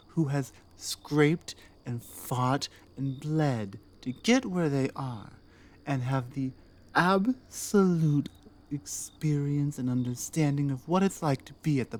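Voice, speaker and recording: Woman acting a slow-spoken, proud orc warrior queen. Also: extremely low pitch, lively intonation, a little mellow, full character voice. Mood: earnest, persuasive. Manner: deliberate, pointed, rhetorical questions. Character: proud battle-hardened orc warrior queen, commanding and distrustful. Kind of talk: scripted audio roleplay monologue, ASMR.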